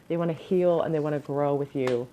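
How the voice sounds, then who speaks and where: A woman with a low, mellow, slightly crackly voice reads tarot cards at home. She speaks earnestly and gives advice, often pausing with ums and restarting sentences. A faint hiss sits under her voice. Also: lo-fi sound. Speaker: tarot reader. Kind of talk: pick-a-card tarot reading.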